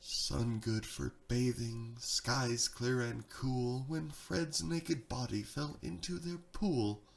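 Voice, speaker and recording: A man's voice: medium pitch, takes it slowly, gravelly. A man reading a darkly comic rhyming tale in a theatrical, rhythmic storytelling style. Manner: dramatic, sing-song rhythmic delivery. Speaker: storyteller, reader.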